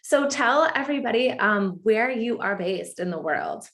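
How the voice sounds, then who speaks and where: A woman's voice: fairly high pitch, lively intonation, normal speed, a bit bright. A woman hosting a podcast, introducing and interviewing a guest with effusive enthusiasm. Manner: effusive, enthusiastic, repeats "amazing". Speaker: podcast host.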